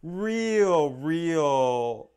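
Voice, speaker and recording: A man's voice: on the high side, expressive, extremely slow, darkish tone, clear, resonant tone. A man co-hosting a podcast in casual comedic chat, self-mocking and acting out little quotes as he talks. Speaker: podcast co-host.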